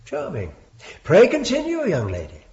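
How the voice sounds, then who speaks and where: An actor playing a stern, lofty Victorian judge with an English accent, formal and measured, in a British radio drama. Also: male voice, very high voice, wildly swooping pitch, vocal fry, a little mellow, full character voice. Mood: condescending. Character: stern, lofty Victorian judge presiding over a murder trial. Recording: dull, muffled lo-fi drama recording.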